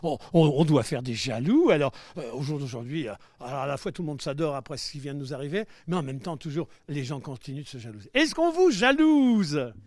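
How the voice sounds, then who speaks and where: A man with a fairly high voice hosting a live interview outdoors by the sea. He teases his guest with playful questions. The sound is dry and close. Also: expressive, a little fast, few pauses, a little mellow, no room sound. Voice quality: playful, teasing. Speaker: host. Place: outdoors by the seafront.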